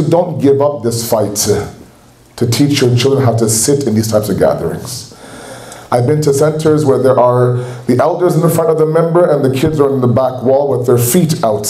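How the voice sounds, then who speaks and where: Man, Canadian accent, earnest preaching tone, mellow voice, roomy hall echo. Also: medium pitch. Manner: earnest, exhorting. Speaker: speaker at a religious gathering. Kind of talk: sermon. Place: large hall at a community center. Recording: echoey hall.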